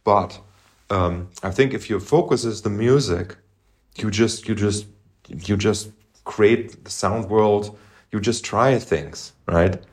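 A middle-aged man co-hosting a podcast, talking through music in a thoughtful, halting way and humming phrases to make his point. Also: on the low side. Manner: thoughtful, halting, hums melodies to illustrate. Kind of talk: casual music discussion.

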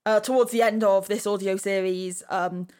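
A woman's voice: medium pitch, fairly even intonation, normal speed, a little mellow. A woman hosting a podcast, talking casually through a discussion. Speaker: podcast host.

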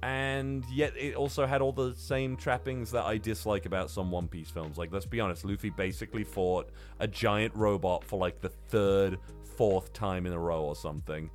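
Casting: male podcast co-host, fairly low voice, loose sweary chat style, light music underneath. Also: steady tone, moderate pace, few pauses. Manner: sweary, informal. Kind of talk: casual film review chat.

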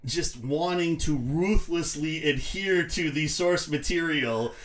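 Higher-voiced man chatting casually about movies. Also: takes it slowly, crowd noise. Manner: rambling, self-correcting, hesitant phrasing. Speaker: podcast panelist.

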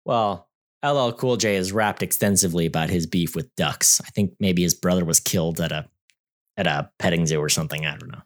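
Very low-voiced joking man in casual chat. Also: brisk. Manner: rambling, many fillers and restarts; wry, joking. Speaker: podcast co-host.

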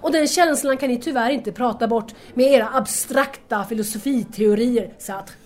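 Actress as high-voiced, wounded young woman. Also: natural voice. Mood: hurt, resigned. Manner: earnest, wounded, formal address. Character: hurt, anxious young woman pleading with estranged lovers. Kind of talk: audio drama dialogue.

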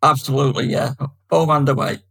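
An older man with a Manchester accent, chatting easily as a podcast guest and filling pauses with um. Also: mid-range voice, fairly even intonation, gravelly, pushed and bright. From Manchester, England. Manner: chatty, friendly, fills pauses with um. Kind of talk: casual chat.

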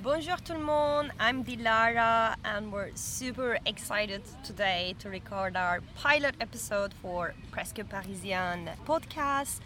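A woman, co-hosting a casual podcast in an animated, chatty way, recorded outdoors in a city park. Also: medium pitch, a little slow, few pauses. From Turkey. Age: 30s. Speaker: podcast co-host. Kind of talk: casual chat. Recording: faint outdoor traffic noise.

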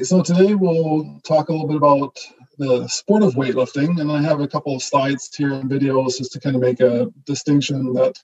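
A man whose first language is German, with a mixed German-Canadian accent, giving a relaxed online lecture full of fillers and restarts. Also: mid-range voice, breathy edge, lo-fi sound. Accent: German-Canadian mix. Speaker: guest lecturer, associate professor.

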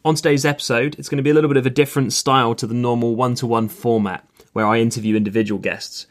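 A man's voice: medium pitch, steady tone, fast talker. A man hosting a podcast, giving a scripted introduction and politely handing the floor to his guests. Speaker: podcast host.

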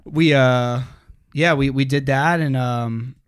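A man in his late twenties talking through his band's history in a halting, searching way. He fills gaps with ums and often restarts his sentences. His voice sits at a middle pitch and sounds dry and close. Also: steady tone, clear, resonant tone, no room sound. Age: late 20s. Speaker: band singer and guitarist, guest. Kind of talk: casual interview storytelling.

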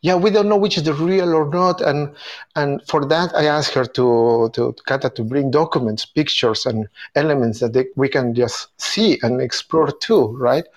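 A man from Argentina with an Argentinian accent, speaking English as a second language, enthusiastically hosting a casual chat about tango. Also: on the high side. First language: Spanish. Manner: enthusiastic, halting non-native phrasing with restarts. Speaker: tango event organizer and host. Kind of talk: casual group video chat.

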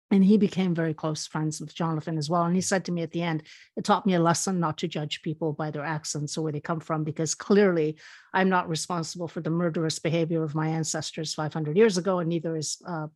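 A middle-aged woman from Spain with a lowish voice tells a long story on a podcast. She talks in an animated, chatty way, often restarting her sentences. The recording is dry and close. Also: no room sound. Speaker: podcast co-host. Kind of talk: casual storytelling chat.

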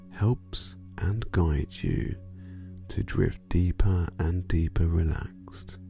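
A man guiding a sleep meditation in a soothing, hypnotic manner, drawing out his phrases and letting endings fall soft and breathy. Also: low-pitched. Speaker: meditation guide.

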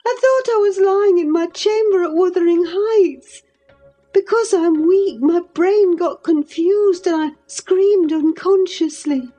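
A woman voicing a feverish, delirious young woman in an audiobook, speaking high and pleading. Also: slow, warm tone, faint music behind. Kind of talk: audiobook character reading.

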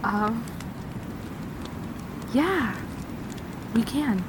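A woman's voice: fairly high pitch, expressive, extremely slow, noisy background. A woman acting in an audio drama as a self-contained wandering survivor, matter-of-fact and reassuring. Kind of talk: naturalistic audio drama dialogue.

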